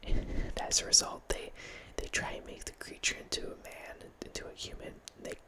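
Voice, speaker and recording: Man whispering softly, very close mic. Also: normal speed. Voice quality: soft unvoiced whisper. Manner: rambling, with restarts and quiet laughs. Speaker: ASMR channel host. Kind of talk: whispered ASMR film ramble. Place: small home room with bookshelf. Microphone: close studio mic with headphones.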